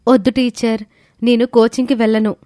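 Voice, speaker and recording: A woman plays a teenage schoolgirl in an audio story, her voice lifted high. She is earnest and respectful, and the recording is close and dry. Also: flat delivery, fairly quick, warm tone, no echo, lightly acted. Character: Jhansi, earnest grateful teenage girl student. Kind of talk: scripted audio story dialogue.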